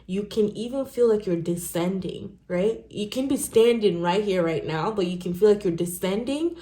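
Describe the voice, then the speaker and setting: A woman's voice: medium pitch, normal speed. A woman from Nigeria, speaking English as a second language, giving a casual tarot reading to viewers in a chatty way, often tagging her sentences with "okay?" and "right?". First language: not English. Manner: chatty, animated, many "okay?" and "right?" tags. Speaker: tarot reader. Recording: roomy echo.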